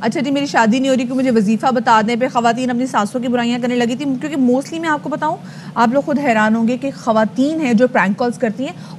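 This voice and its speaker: Chatty high-voiced Pakistani woman presenter. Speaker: TV morning news show host. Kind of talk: conversational broadcast presenting. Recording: clear background noise.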